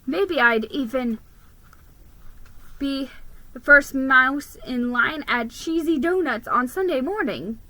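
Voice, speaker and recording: A woman reads a children's story aloud with drama and expression. Her voice is bright and a little high, and she goes slowly and deliberately, sometimes tripping on a word. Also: poor audio. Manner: deliberate, expressive, with stumbles over words. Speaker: storybook reader.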